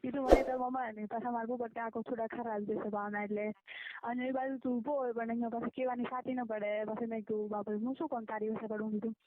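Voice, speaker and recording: Higher-voiced Gujarati village woman on the phone. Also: level tone, fast, dry recording. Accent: rural Gujarati. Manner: chatty, questioning, recounts conversations in quoted back-and-forth. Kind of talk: casual private phone chat, gossiping. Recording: muffled, band-limited phone call recording.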